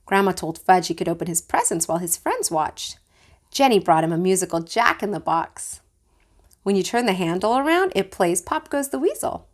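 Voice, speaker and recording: A woman reading a children's book aloud like a teacher, with expressive storytelling. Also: mid-range voice.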